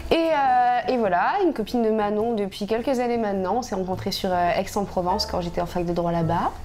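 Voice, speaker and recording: A woman's voice: medium pitch, fairly quick, a little mellow. A woman in her twenties from southern France, talking frankly as an interview guest with many fillers and restarts. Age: 20s. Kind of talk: casual interview talk.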